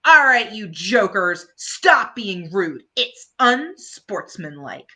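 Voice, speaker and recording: Woman acting a bossy, forceful young girl athlete. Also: mid-range voice, sing-song, takes it slowly, heavily acted. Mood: angry, defiant. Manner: blunt, forceful, commanding. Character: tough, bossy, indignant young girl hockey player. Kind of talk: storybook character voice.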